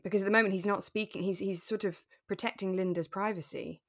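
A woman's voice: mid-range voice, fairly even intonation, quick pace. A woman co-hosting a podcast, chatting casually with an earnest, enthusiastic manner. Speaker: podcast co-host. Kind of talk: casual chat about a radio drama.

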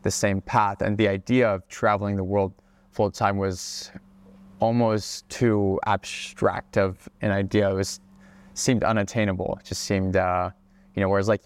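A young man raised in Hawaii, a guest on a casual podcast interview, talking in a relaxed way with plenty of fillers and restarts. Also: sits low, moderate pace. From Czech Republic, raised in Hawaii. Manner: relaxed, many fillers and restarts, laughs often. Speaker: guest, travel creator.

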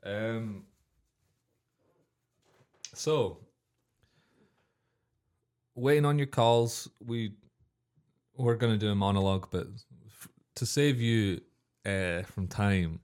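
Young man, very deep voice, casual rambling radio chat, self-correcting. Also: slow, pauses often, darkish tone, clear, resonant tone. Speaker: radio show co-host. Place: student radio studio. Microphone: studio microphone.

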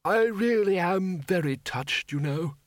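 A man voicing a mild, polite undead wizard in a storybook, with a gentle, hesitant, courteous manner. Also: high voice, lively intonation, unhurried, no echo, voice pushed up. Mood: mild, apologetic.

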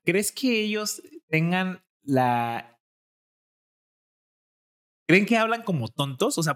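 A man's voice: fairly high pitch, a bit bright. A young adult man with a Jalisco accent, chatting casually as podcast co-host and thinking aloud with many fillers. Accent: Mexican, from Jalisco. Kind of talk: casual chat.

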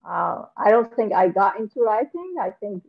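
A middle-aged woman from Syria, a guest author, talking thoughtfully in a casual interview with frequent pauses and fillers. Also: medium pitch, very animated, vocal fry. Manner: soft-spoken and thoughtful, with many fillers and restarts. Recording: lo-fi remote interview audio.

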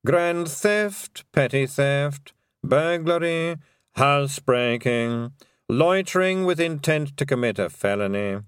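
A man voicing a pompous male lawyer who reads out charges in a slow, formal way. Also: on the high side, lively intonation, clear, resonant tone, dry recording, clean studio sound, voice pushed up. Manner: measured, formal.

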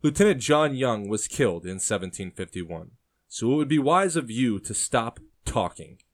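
A man voicing a stern, impatient older gentleman who is scarred and gray-bearded. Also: on the low side, brisk, natural voice. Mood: angry. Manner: commanding, impatient, cold.

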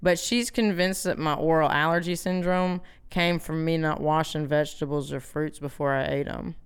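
Clear-voiced woman in joking casual chat. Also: deep voice, steady tone, moderate pace. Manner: joking, slangy, rambling. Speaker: podcast co-host. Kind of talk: casual comic chat, storytelling.

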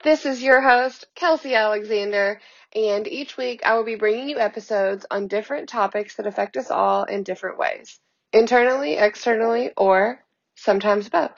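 A young woman hosting a casual podcast, her voice a little hoarse, talking in an upbeat, chatty way with many fillers, recorded from a hotel room. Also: fairly high pitch, moderate pace, muffled recording. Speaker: podcast host. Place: hotel room, sitting on a bed.

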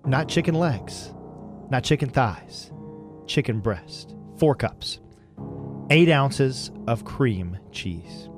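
A man on a morning radio show giving a deliberate mock-dramatic recipe reading with dry asides. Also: mid-range voice, very animated. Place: radio studio. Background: music under the voice.

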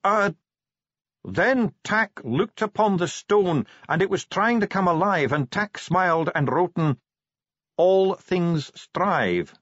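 A man voicing an earnest, scholarly dwarf translator in an audiobook, reciting ancient lore in slow, archaic, declamatory phrases. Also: high-pitched, sing-song, a bit bright, husky, dry recording, dull audio, voice pushed up, exaggerated voice. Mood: urgent, proclaiming.

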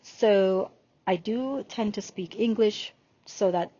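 A woman in her forties, born in Jamaica, speaks with a slight Jamaican accent and an unhurried podcast delivery. She takes her time, drawing out words as she lists things, at a very slow pace. The recording sounds dull and lo-fi. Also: mid-range voice, mellow, husky. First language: Jamaican Patois. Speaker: podcast host and spiritual healer. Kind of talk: unscripted podcast monologue.

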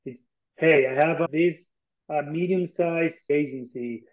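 A man's voice: on the high side, measured pace. A man from Argentina with an Argentine accent speaks English as a second language, conversationally and earnestly as a podcast guest, often repeating phrases for emphasis. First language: Spanish. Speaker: interview guest, tech company co-founder. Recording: thin phone-like online call audio.

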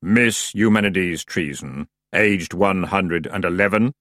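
Grim reaper, acted by a male narrator: solemn, courteous, measured and formal, dry storybook sound. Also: sits low, a bit bright, dry recording. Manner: slow, solemn, formal pronouncements.

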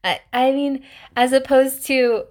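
A young adult woman hosting a mindfulness podcast, talking in a chatty, reflective way with many restarts and now and then dropping to a soft whisper. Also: high-pitched, fairly even intonation, unhurried. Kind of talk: casual reflective monologue.

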